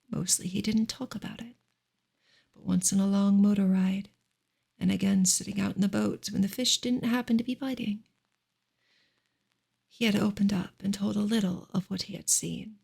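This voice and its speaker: A woman softly reading a novel aloud in ASMR style, breathy and hushed, often slipping into a whisper. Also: mid-range voice. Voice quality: breathy, soft-spoken. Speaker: ASMR reader. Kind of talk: soft ASMR audiobook reading, partly whispered.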